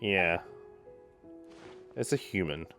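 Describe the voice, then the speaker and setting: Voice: deep voice, expressive, slow, pushed and bright. A gamer giving casual let's play commentary, musing aloud and reacting in short murmured asides. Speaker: gaming video creator. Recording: game music behind the voice.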